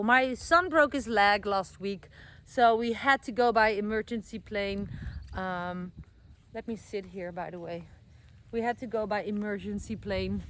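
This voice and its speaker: A woman's voice: mid-range voice, a bit bright, slight background noise. A middle-aged woman from the Netherlands with a Dutch accent, chatting casually to camera outdoors, with many fillers and self-corrections. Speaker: vlogger. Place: outdoors, garden with trees being planted.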